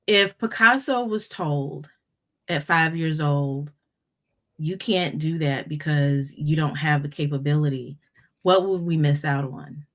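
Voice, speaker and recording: A woman in her fifties hosting a conversation, enthusiastic and stumbling over repeated words when excited. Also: deep voice, unhurried. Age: 50s. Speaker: podcast host, business coach. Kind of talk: casual conversation.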